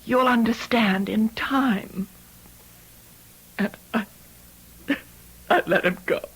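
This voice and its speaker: A woman's voice: fairly high pitch, takes it slowly, fairly bright tone, slightly rough, full character voice, low-quality recording. An actress playing a distraught, frightened young woman in an old-time radio mystery, breathless and melodramatic. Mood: shaken, remembering. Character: distraught, frightened young woman fearing she seems mad.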